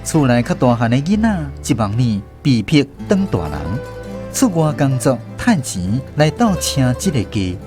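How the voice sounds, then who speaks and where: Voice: mid-range voice, sing-song, unhurried. An actor with a Taiwanese accent narrating a radio drama in the first person, as a grown-up recalling a village childhood. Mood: reflective storytelling. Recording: music under the voice.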